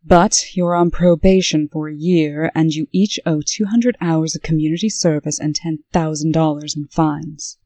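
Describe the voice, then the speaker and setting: A woman playing a stern, brisk official in a comic dub, speaking with dry, scolding authority. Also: deep voice, steady tone, warm tone, dry recording, lightly acted. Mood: firm, commanding. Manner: crisp, authoritative, dryly scolding. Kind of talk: scripted comic-dub acting.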